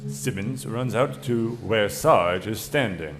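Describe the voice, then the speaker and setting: A man's voice: fairly low pitch, expressive, slow pace. A man reading stage directions as narrator of a scripted comedy table read. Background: music.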